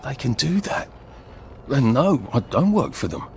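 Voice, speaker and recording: A man's voice: medium pitch, very animated, unhurried, gravelly, a little hiss or hum. An actor playing a mild, hesitant, wry English everyman adventurer in a video game, musing politely in a British accent. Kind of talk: video game character acting.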